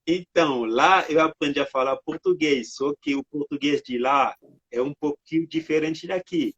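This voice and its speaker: A man from the Democratic Republic of the Congo answering interview questions over a video call, halting, with many filler words. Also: on the high side, fairly bright tone, husky, no echo. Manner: halting, frequent "né" and "sabe" fillers. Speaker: artist and guest. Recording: dry lo-fi video call audio.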